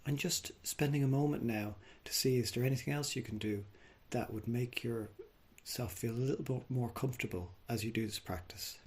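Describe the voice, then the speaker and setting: A man leading a guided body scan meditation, speaking gently and without hurry, leaving long pauses. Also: medium pitch, slightly rough. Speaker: mindfulness meditation teacher.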